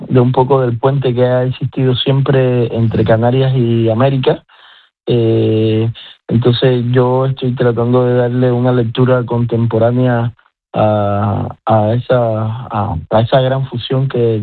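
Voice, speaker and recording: A man's voice: mid-range voice, little pitch movement, unhurried, no room sound, faint music behind. A man with a Cuban Spanish accent, a chef speaking as a guest in a radio interview, talking with many restarts. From Cuba. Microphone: telephone. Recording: tinny phone line.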